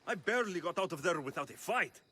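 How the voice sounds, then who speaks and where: Bright, forceful mercenary man. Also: high voice, lively intonation, quick pace, full character voice. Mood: pleased, cocky. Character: bold, wry young male Greek mercenary. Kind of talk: video game cutscene acting.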